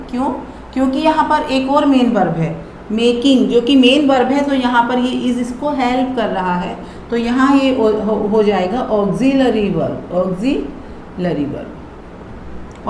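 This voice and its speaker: A woman's voice: fairly high pitch, lively intonation, measured pace, darkish tone. A woman teaching English grammar, explaining patiently and repeating example sentences. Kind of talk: classroom explanation mixing Hindi and English. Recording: echoey room with faint noise.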